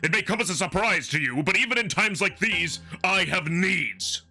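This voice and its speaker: A man voicing a proud, earnest wolf alien in a visual novel drama, formal and emphatic, quick to defend himself. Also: sits high, animated, bright, forceful tone, soft background music, voice pushed up, exaggerated voice. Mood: indignant.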